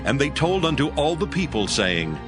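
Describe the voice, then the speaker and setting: A man's voice: on the high side, sing-song, moderate pace, music in the background. A man giving scripted dramatic narration of scripture, telling the story solemnly in archaic English.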